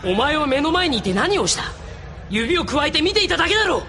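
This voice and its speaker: A man's voice: very high-pitched, big pitch swings, fast, bright, forceful tone, heavily acted. An actor playing a proud, bitter, hot-headed young male pilot in an anime audio drama, confrontational and heated. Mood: accusing, furious. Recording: some background noise.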